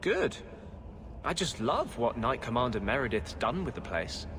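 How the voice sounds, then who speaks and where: A man's voice: mid-range voice, very animated, moderate pace, a bit bright, a little hiss or hum. A man playing a brooding, bitter rebel mage healer in a video game, earnest and weary. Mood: bitter sarcasm.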